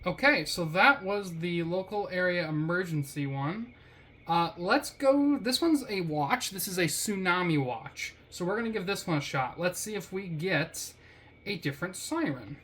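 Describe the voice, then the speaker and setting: A woman's voice: lowish voice, animated, normal speed, a bit bright, a little hiss or hum. A woman hosting a casual product review, chatting in a wry, slangy and easily amused way. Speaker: product reviewer, vlog host.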